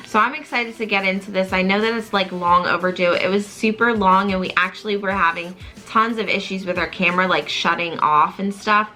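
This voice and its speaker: A woman's voice: medium pitch, fairly even intonation, fairly quick, few pauses, bright, forceful tone. A woman co-hosting a reaction channel in casual chat, filling her sentences with "like". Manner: filler-heavy, says "like" often.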